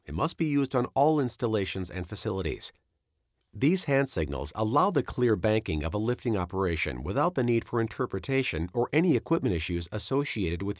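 A man reading scripted instructional narration as a training presenter, in a measured, instructive manner. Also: lowish voice, no echo, clean studio sound.

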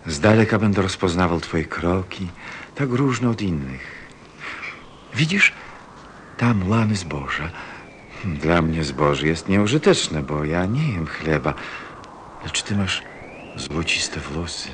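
A man playing a gentle, wistful fox who craves friendship, speaking earnestly and tenderly in an audio play. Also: low-pitched, animated, background noise. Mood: pleading, wistful. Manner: slow, earnest, tender delivery. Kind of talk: Polish-language audio play acting.

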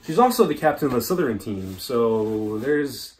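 A young man playing a bumbling, awkward league manager in a mockumentary, rambling with deadpan asides while giving a house tour. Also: mid-range voice, normal speed, close to an everyday voice. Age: young adult. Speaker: mockumentary lead. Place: family house rooms and garage, indoors. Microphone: handheld camera a few feet off. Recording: roomy home echo, faint hiss.

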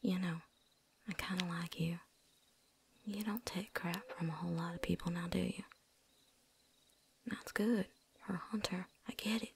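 Whispered ASMR roleplay, seasoned female vampire hunter, acted by a woman, low creaky voice, folksy, gruffly friendly, noticeable hiss. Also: fairly even intonation, moderate pace, pauses often. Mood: confiding. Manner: hushed, folksy drawl, teasing.

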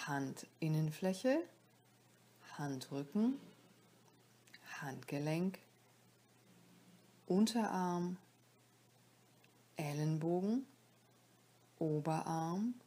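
Female meditation guide: mellow, slightly low voice, soft and breathy to near-whisper, calm, soothing, spacious phrasing. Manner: calm, soothing, short instructive phrases with pauses. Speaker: yoga nidra teacher leading a guided relaxation.

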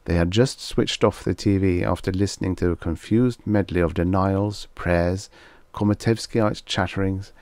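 A man's voice: sits low, steady tone, moderate pace, a little mellow, dry recording. A man reading a scripted science fiction story aloud as an audiobook narrator.

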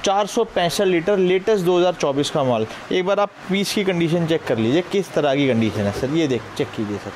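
High-voiced Delhi salesman pitching deals. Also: expressive, noisy background. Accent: Delhi Hindi. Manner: brisk persuasive, addresses viewer as sir. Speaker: shop salesman. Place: large electronics warehouse indoors.

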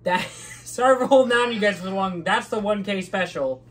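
A loud, excitable young man co-hosting a casual channel update in a small green-screen room, laughing often. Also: sits high, normal speed. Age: young adult. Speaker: channel co-host. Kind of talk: casual chat.

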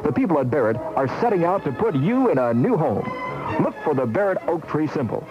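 80s radio ad guy, highish lively voice, dull old tape with loud music under him. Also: a little fast. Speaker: commercial announcer. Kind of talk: scripted 1980s radio commercial announcing.

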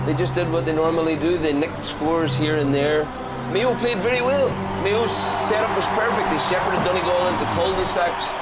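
An Irish man giving forceful, argumentative post-match analysis as a pundit. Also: a little high. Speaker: studio pundit. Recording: loud crowd noise behind.

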